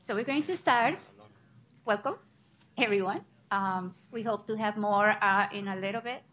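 A woman moderating a college student panel, polite, pausing between her prompts. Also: medium pitch, a bit bright, highs cut off. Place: college library.

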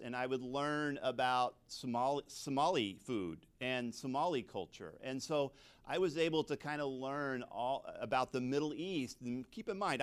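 A middle-aged man from suburban America with an American accent, an English teacher telling personal stories in a talk, conversationally and with frequent asides. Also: medium pitch. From suburban United States. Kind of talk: personal storytelling in a talk to an audience.

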